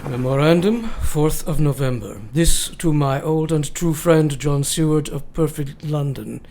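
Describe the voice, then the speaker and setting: Gravelly, high-pitched old professor. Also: male voice, a little mellow, slight background noise. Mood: solemn, fearful. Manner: foreign-sounding broken grammar, solemn, deliberate. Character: elderly male foreign professor and vampire hunter, grave, devoted. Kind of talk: radio drama acting, dramatic journal narration.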